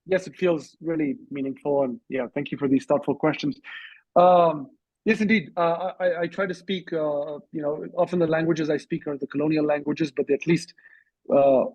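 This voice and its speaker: Husky, higher-voiced man, reflective. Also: steady tone, normal speed, a little mellow, dry recording, highs cut off. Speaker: interview guest, author and journalist. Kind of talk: thoughtful spoken answers in an online discussion. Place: online video call.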